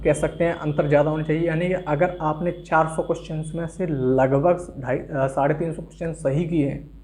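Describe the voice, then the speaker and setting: A man with a soft, mellow tone and a slightly high voice speaks as an online exam-preparation host. He explains things in an instructional way, addressing viewers directly. English terms are sprinkled through his explanations.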